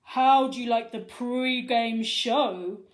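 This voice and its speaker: Storybook principal, woman performer: gloating, stern authority, deliberate delivery with smug menace. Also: fairly high pitch, reverberant. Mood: smug, mock-friendly. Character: stern, gloating male school principal savouring his triumph.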